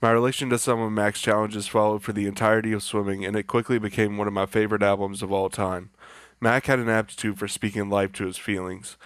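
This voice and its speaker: A man's voice: lowish voice, level tone, fast, clear, resonant tone, no echo. A man from South Carolina reading an earnest, grateful listener message to a podcast.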